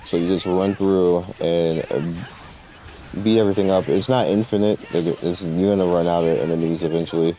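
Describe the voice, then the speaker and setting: A man's voice: deep voice, fairly even intonation, moderate pace, mellow, poor audio. A man giving casual, informal gameplay commentary, rambling through his explanations in slangy language as he guides viewers. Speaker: gamer presenting a game guide.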